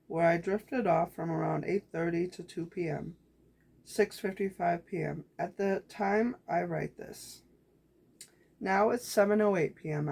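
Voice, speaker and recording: Woman, journal reading, calm reflective, gravelly creaky voice, slightly raspy. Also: fairly low pitch. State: voice getting raspy. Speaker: vlogger. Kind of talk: reading her handwritten journal aloud.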